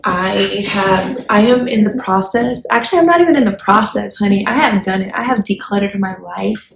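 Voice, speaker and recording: A woman's voice: mid-range voice, a little mellow. A woman in her twenties from Texas with an American accent, talking in a chatty, slangy, conversational way as she shares personal stories with viewers. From Texas, United States. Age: 20s. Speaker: YouTube vlogger. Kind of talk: casual personal storytelling to viewers. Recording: echoey room, faint background noise.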